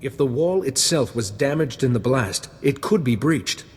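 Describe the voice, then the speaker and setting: An actor playing a calm, resolute Jedi mediator in a video game, measured and formal. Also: male voice, medium pitch, a little hiss or hum, close to an everyday voice. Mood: reassuring. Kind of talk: video game cutscene acting.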